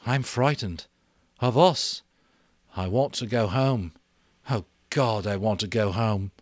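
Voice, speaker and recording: A man's voice: on the low side, takes it slowly, no room sound, studio quality. A man playing a troubled, frightened schoolboy in a storybook reading, with an uneasy, downcast manner.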